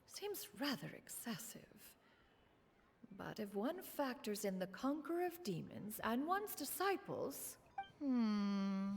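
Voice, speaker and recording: A woman's voice: fairly high pitch, very animated, vocal fry, a little mellow, husky, full character voice. A woman playing an aloof, dignified sage in a video game, speaking formally and haughtily, with music behind. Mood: considering, composed. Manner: formal, haughty, measured wording. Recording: music under the voice.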